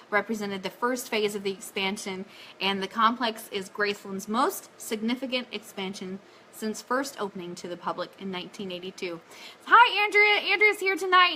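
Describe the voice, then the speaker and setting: A woman's voice: on the high side, pushed and bright, slight background noise. A woman hosting a fan radio show live, chatty and enthusiastic, moving between casual talk and reading out news items.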